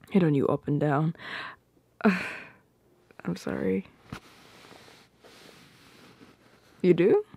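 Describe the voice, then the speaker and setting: A woman playing an affectionate, teasing girlfriend in an ASMR roleplay, soft and breathy, often slipping into whispers, close to the mic. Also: deep voice, no echo, full character voice. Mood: tender, apologetic.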